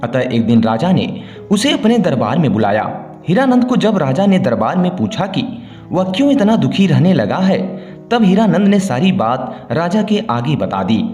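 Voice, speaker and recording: A man's voice: fairly high pitch, big pitch swings, moderate pace, a little mellow. A man narrating a scripted moral story as a storyteller. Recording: roomy echo, music behind. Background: background music.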